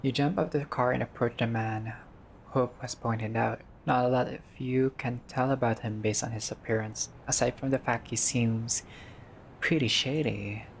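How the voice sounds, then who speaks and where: A man doing a casual game playthrough, reading dialogue aloud and reacting with expressive, slangy asides. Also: medium pitch, moderate pace, vocal fry, a little hiss or hum. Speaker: gaming video player reading dialogue aloud.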